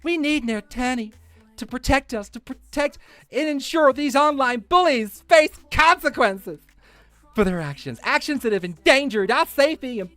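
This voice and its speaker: A man's voice: very high voice, lively intonation, moderate pace, bright, forceful tone, no echo, voice pushed up, heavily acted. A man voicing a melodramatic, self-pitying woman in a theatrical, mock-solemn dramatic reading. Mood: pleading.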